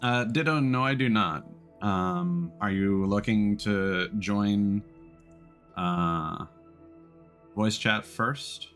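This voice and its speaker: A man's voice: on the low side, very slow, a bit bright, soft background music. A man doing casual live gameplay commentary as a streamer, hesitantly thinking aloud. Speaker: game streamer.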